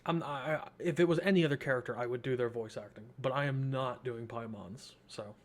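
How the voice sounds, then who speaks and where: A man's voice: mid-range voice, a little fast. A man hosting a gameplay video with casual, informal commentary, reacting in short asides. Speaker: gaming video host. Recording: soft game music behind.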